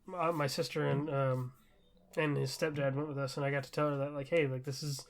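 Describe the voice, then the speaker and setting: A young adult man co-hosting a casual chat in an enthusiastic, excitable way. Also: mid-range voice, a little fast, gravelly. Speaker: podcast co-host.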